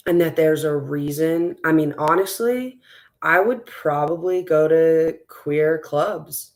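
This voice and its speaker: A woman with a raspy, strained voice, a school board candidate talking as a guest in a relaxed interview, rambling with lots of fillers and restarts. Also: deep voice, slow. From West Texas. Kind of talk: casual conversational interview.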